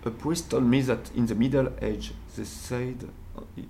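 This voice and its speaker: A man's voice: mid-range voice, fairly even intonation, normal speed, gravelly, warm tone, a little hiss or hum. A man from France speaking English with a French accent, a performer giving a casual interview and searching for words with frequent restarts.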